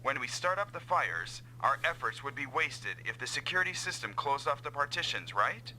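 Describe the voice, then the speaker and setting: Earnest comrade pilot, very sharp, forceful. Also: male voice, mid-range voice, sing-song, normal speed, slight background noise, low-quality recording. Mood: purposeful. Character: earnest, self-doubting male comrade pilot, eager to help. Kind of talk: dubbed anime-style video game acting.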